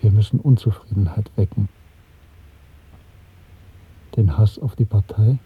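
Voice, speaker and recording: An actor playing an earnest party clerk with secret rebellion in him, speaking in a measured, insistent way in a radio drama. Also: male voice, fairly low pitch, husky. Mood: bitter, urgent. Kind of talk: German radio drama acting. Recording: muffled, dry 1970s radio drama recording.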